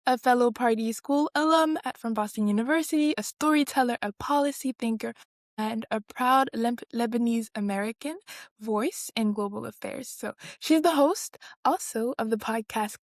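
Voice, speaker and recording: High-voiced woman hosting a podcast. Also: normal speed, no echo, studio quality. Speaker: podcast host and interviewer. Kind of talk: scripted introduction and conversational interviewing.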